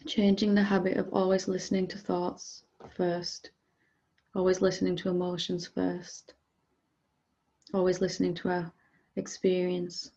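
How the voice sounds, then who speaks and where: A woman guides a meditation in a low, soft-edged voice with a mellow tone. She speaks calmly and gently, leaving space between phrases as she instructs. The recording is slightly dull and muffled. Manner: gentle, calm, unhurried with long pauses. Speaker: meditation teacher.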